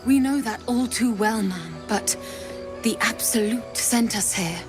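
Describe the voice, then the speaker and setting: Woman as a slow, intense, wary cultist. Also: on the high side, lightly acted. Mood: grave, reverent. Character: wary, devout female cult recruit, protective sister. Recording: music behind the voice.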